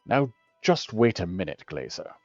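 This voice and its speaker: A man voicing a measured, argumentative male council official, formal and reasoning, in a political story game. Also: low voice, very animated, darkish tone, dry recording, full character voice. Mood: measured objection. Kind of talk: dramatic reading of story game dialogue. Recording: dull lo-fi audio with music behind.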